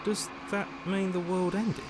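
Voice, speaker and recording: A man's voice: high voice, little pitch movement, measured pace, background noise. A man voicing a worried, regretful inner voice in a visual novel game, speaking with emotive hesitation. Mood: bewildered. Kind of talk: dramatic visual novel voice acting.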